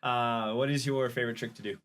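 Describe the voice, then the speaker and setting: A man's voice: medium pitch, fairly even intonation, a little fast, a bit bright, slight background noise. A man hosting a casual podcast, joking and teasing his guest, laughing often. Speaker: podcast host. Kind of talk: casual chat.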